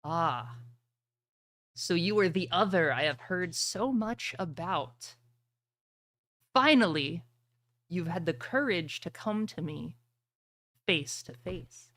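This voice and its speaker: A woman's voice: on the low side, expressive, a bit bright. A woman voicing a huge horned male warlord, grandiose and contemptuous, in a tabletop roleplaying game. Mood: haughty. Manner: grandiose, sneering, deliberate.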